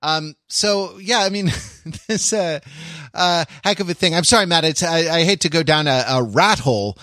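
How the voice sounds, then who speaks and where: A man with a fairly high voice hosts a casual chat. He is halting and wry, joking as he feels his way through sentences. The sound is dry and close. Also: pushed and bright, no echo. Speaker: podcast host.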